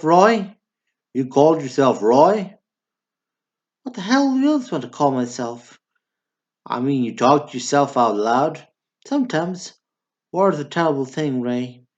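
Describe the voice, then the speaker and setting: A man's voice: a little high, muffled recording, lightly acted. A man acting in a stage play as one of two blunt, bantering Texan brothers, one a drunk war veteran.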